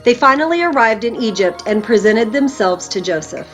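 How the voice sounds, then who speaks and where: Woman reading a bible story out loud, dramatic, highish voice, soft music behind her. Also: lively intonation, normal speed. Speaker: narrator reading scripture aloud.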